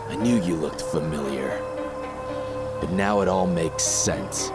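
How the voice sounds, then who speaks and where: An actor playing a mocking, theatrical masked villain in an audio drama. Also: male voice, sits low, takes it slowly, over loud music. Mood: smug taunting. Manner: mocking, theatrical, laughing at foes. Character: masked male villain thief, taunting and swaggering.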